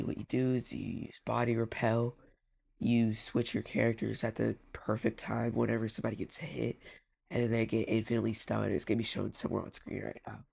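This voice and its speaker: A man's voice: mid-range voice, gravelly, darkish tone, breathy edge. A young man with a congested, stuffy voice, telling a casual rambling story about a game and repeating himself often. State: congested from a cold. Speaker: gaming YouTuber.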